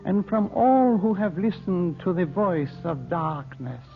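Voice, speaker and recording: A solemn, ancient mystic teacher in an old radio serial. He speaks very slowly and ceremoniously in a fairly high male voice, over a thin, phone-like recording with music behind. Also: lively intonation, full character voice. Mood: commanding. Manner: archaic, ceremonious, measured speech. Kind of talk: 1930s-40s radio drama acting.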